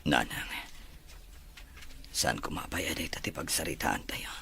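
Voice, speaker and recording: A man's voice: a bass voice, a little slow, creaky, sharp tone, slight background noise, exaggerated voice. A man with an Ilocano accent acting an emotional son and husband in a Filipino family drama. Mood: weary, subdued.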